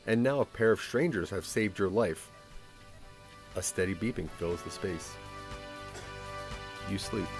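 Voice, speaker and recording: A man's voice: low-pitched, measured pace, pauses often, over music. A man narrating a scripted audio drama in the second person, with a dramatic storytelling manner.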